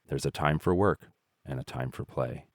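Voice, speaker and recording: A man narrating fables in an expressive, measured storytelling style. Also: extremely low pitch, no echo, clean studio sound.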